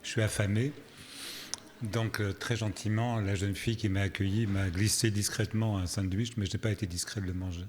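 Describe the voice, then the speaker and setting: Low-voiced man lecturing in a reverberant hall. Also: slight background noise. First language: not French. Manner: wry, deadpan humour; deliberate rhetorical questions and repetitions. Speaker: psychotherapist giving a public lecture. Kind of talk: public lecture with storytelling. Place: large reverberant hall, live talk.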